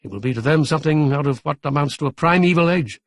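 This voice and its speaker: A man voicing a calm, formal young man who speaks in a measured, solemn way. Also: fairly high pitch, no echo, clean studio sound, close to an everyday voice. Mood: earnest.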